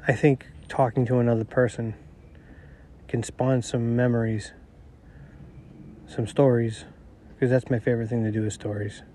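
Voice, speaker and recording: A middle-aged man with a nasal voice hosting a podcast on his own, rambling and self-deprecating, talking quietly outdoors. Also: mid-range voice, level tone, moderate pace, long pauses, a little hiss or hum. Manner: quiet, not projecting. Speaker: podcast host. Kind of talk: casual solo monologue.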